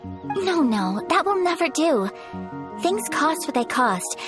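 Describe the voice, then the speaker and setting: A young noble swordswoman from a video game. Her high voice is poised, formal and earnest, moving expressively in pitch, with music behind it. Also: very animated, slow, exaggerated voice. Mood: gracious.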